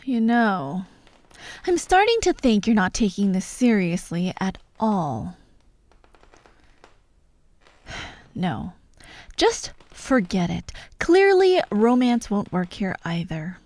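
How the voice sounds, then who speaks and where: A woman's voice: mid-range voice, sing-song, takes it slowly, darkish tone, dry recording. A woman playing a vengeful fired actress holding hostages in an ASMR audio drama, taunting and theatrical, often dropping to a whisper. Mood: impatient, demanding. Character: vengeful fired actress, sadistic hostage-taker directing victims.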